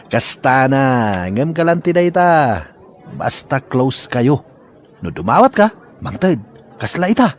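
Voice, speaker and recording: A cocky, sly young man with an Ilocano accent, played by a male actor in a comedy drama. His pitch jumps around a great deal as he talks. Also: big pitch swings, takes it slowly, long pauses. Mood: sly, defensive.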